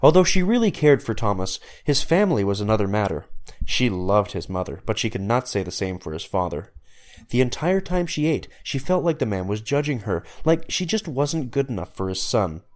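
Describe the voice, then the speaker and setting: Man, low voice, scripted storytelling narration, narrator. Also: very animated, moderate pace.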